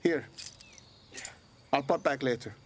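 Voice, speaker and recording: Man acting an affable customer, self-assured, medium pitch, radio soap, slight ambient noise. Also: takes it slowly, close to an everyday voice. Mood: affable, brisk.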